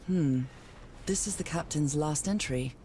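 A woman's voice: deep voice, measured pace, mellow, breathy edge. An actress playing a thoughtful 1930s woman explorer in a video game, musing aloud to herself. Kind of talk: video game character monologue.

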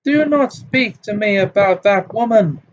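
A man voicing an overwrought, eccentric middle-aged female divination teacher, high-pitched, quavering and theatrically self-pitying. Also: a little slow. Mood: furious. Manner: theatrical, wounded self-pity. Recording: dull lo-fi with faint hiss.

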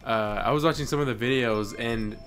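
Man, slight lisp, relaxed gamer chat, loud game music behind. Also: medium pitch, brisk. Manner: laid-back, short reactions. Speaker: co-host. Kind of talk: casual chat while playing.

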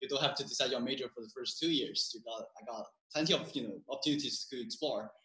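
Young male student moderator from China; hesitant, filler-heavy, bright, in an echoey room. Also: medium pitch, quick pace. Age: young adult. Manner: hesitant, many "you know" fillers. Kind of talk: live admissions panel chat. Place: conference room.

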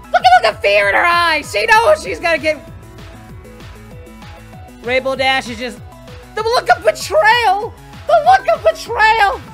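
A performer voicing an excitable young male anime hero, hosting a reaction video with melodramatic yelling and wailing. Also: extremely high pitch, measured pace, vocal fry, long pauses, full character voice. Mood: outraged. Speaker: reaction channel host. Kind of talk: over-the-top reaction commentary. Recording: music playing behind.

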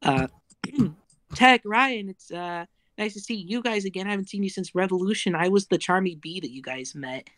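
A woman's voice: mid-range voice, normal speed, fairly bright tone, no echo. A chatty, effusive woman speaking casually as an audience member with a question. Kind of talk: casual live voice chat.

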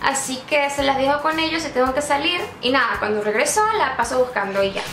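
A woman's voice: sits high, fast, reverberant, music in the background. A woman in her twenties with a Venezuelan accent, chatting playfully to camera in a vlog and mocking herself as she tries out Chilean slang. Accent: Venezuelan, putting on Chilean slang. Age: 20s. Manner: playful, self-mocking, laughing often, animated hand gestures. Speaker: YouTuber. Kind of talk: casual vlog answering questions.

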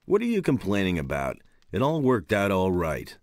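An actor playing a blunt, cocky, nonchalant adventurer hero in a fantasy video game. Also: male voice, deep voice, wildly swooping pitch, moderate pace, darkish tone, no echo. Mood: unbothered, blunt.

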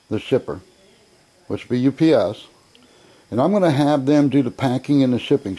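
A man with an American accent and a relaxed, mellow tone at a middling pitch. He talks informally, like a seller showing off his goods on a home video, with a blunt, self-assured and folksy way of putting things. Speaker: auction seller presenting an item. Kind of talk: informal sales video narration. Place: indoor room, narrating from behind a handheld camera.

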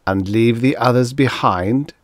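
A man's voice: mid-range voice, a little slow, no echo, clean studio sound, close to an everyday voice. A man voicing a stern, dutiful male wind creature with a stiff, stubborn, scolding manner in a narrated story. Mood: stiff, disapproving.

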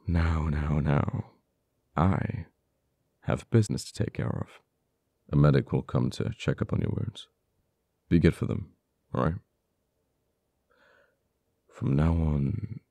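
A man playing an uptight, wounded but earnest government official in an ASMR audio roleplay, speaking haltingly with stammers. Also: a bass voice, vocal fry, dark tone, dry recording, clean studio sound, exaggerated voice. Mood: flustered, defensive, then stern, composed. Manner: halting, hesitant delivery with stammers. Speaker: voice actor.

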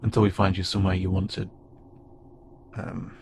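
A man playing an awkward, gentle insect-like alien pilot who speaks haltingly and unsure of himself, in an intimate audio roleplay. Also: low-pitched, level tone. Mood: earnest, hesitant. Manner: hesitant, halting, stammering and self-doubting. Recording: clearly noisy, with ambient sound bed.

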